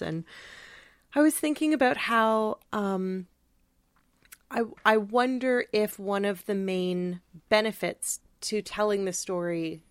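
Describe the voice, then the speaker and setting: A woman's voice: mid-range voice, no echo. A woman co-hosting a podcast, speaking casually and thoughtfully, feeling out her phrasing as she discusses. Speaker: podcast co-host. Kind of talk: casual conversational literary discussion.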